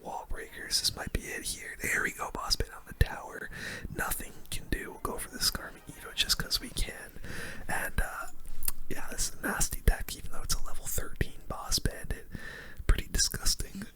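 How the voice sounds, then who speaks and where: A gamer whispering softly and breathily into a close microphone, giving ASMR-style running commentary on play while musing aloud. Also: moderate pace. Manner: soft whispering, mild swearing, musing aloud. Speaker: gaming video creator.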